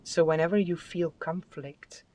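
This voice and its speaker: A tarot card reader giving a spiritual reading with affirmations, in a soft, soothing and unhurried voice that sometimes drops to a whisper. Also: mid-range voice, fairly even intonation, a little hiss or hum. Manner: soft, soothing, unhurried delivery with drawn-out phrases.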